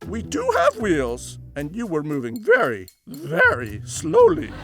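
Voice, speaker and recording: A genteel elderly lady car played by a man, very high and swooping, with a creaky edge. She speaks formally and politely in measured phrases, like a grand dame in a comic storybook tale. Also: wildly swooping pitch, fairly bright tone, soft background music, heavily acted. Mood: genteel, gently reproving.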